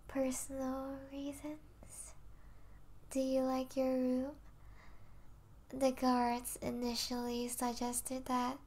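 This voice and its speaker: A high-voiced vampire princess, played by a woman, lingers very slowly over her words. She is regal and possessive, yet soft and doting, slipping into breathy asides as if in an intimate ASMR roleplay. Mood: possessive, coaxing. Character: possessive yet tender vampire princess, mistress of a human pet.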